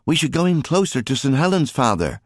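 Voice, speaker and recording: A fisherman's keen young son speaks up in a storybook, voiced by a grown man. The pitch is lifted and lively, the manner informal and eager. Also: brisk, no room sound, studio quality. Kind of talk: audiobook character dialogue.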